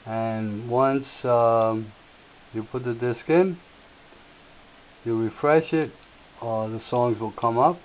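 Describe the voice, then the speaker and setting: A man with a slightly raspy voice, hosting a software tutorial and explaining each step in an unhurried way with filler words. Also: mid-range voice. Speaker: tutorial host. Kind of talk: screen-share software tutorial. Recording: tinny lo-fi audio with faint hiss.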